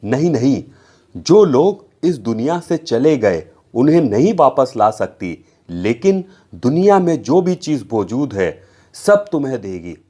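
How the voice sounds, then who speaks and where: A man's voice: medium pitch, sing-song, a little slow, warm tone. A man voicing a kindly, cautious uncle in a children's story, explaining things patiently and firmly. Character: kindly, cautious adult uncle who runs a bakery.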